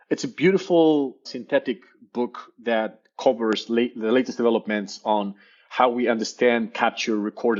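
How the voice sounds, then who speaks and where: A man's voice: mid-range voice, dull audio. A man with an Argentinian Spanish accent, a native Spanish speaker, talking in a casual interview, enthusiastic and often repeating words as he searches for them. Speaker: guest, professor.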